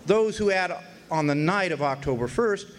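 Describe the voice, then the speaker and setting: A man's voice: sits high, lively intonation, moderate pace, a little hiss or hum. A man playing a wry, folksy narrator who recalls an old frontier court case in a radio drama, with hesitant storytelling. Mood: wry, reflective.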